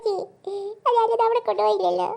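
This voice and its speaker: A performer voicing an excitable, whiny and playful little girl in a comedy cartoon. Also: extremely high pitch, fast, vocal fry, exaggerated voice. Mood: pleading, upset.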